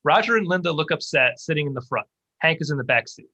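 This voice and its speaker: A man's voice: on the high side, expressive, fast talker, bright, forceful tone, highs cut off. A man narrating stage directions from a screenplay as scripted narration.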